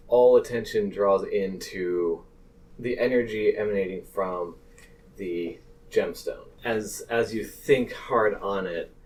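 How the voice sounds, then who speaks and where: A man running a tabletop roleplaying game as game master, improvising narration in a deliberate, halting way. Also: low-pitched, a little mellow, recorded from a distance, a little hiss or hum, poor audio.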